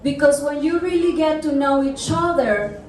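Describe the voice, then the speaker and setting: A woman from Mexico, a film producer, giving a thankful speech at an event, measured and pausing often with fillers. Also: high-pitched, a little mellow. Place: large hall at a festival. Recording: echoey hall, mic far off.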